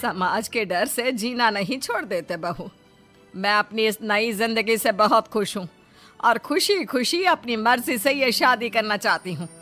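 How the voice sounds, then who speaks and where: A woman's voice: on the high side, a little slow, bright, forceful tone. A woman voicing an elderly widowed mother-in-law in a cartoon story, speaking with emphatic, pleading emotion and firm resolve. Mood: happy, firm. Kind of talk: Hindi cartoon story dramatic dialogue. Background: music.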